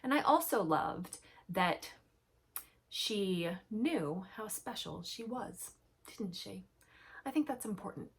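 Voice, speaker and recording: A woman storyteller reading a picture book to young children, expressive and emphatic, chatting to them with questions. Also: mid-range voice, slow, slightly rough. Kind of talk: animated storybook read-aloud with commentary to young children.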